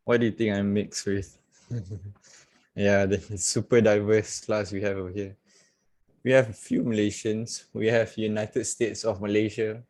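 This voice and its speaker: A man teaching trading on a live video call, chatty and relaxed, laughing and talking straight to his viewers. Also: on the low side. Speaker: trading coach. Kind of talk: casual live-stream trading lesson.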